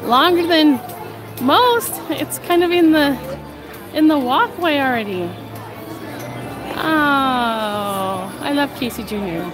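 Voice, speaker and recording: A woman's voice: high-pitched, animated, slow pace, pauses often. Nasal woman chatting over a noisy crowd. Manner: chatty, laughs often, restarts phrases. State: recovering from a cold, a little nasal. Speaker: vlog host. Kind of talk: casual live-stream chat while walking. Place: outdoors in a crowded theme park. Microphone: handheld phone camera while walking. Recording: loud crowd and park music behind. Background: crowd chatter and park music.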